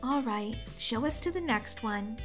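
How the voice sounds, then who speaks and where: A woman playing an eager, expressive young wife hunting for a first home in a game roleplay story. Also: a little high, measured pace, soft background music. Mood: wistful.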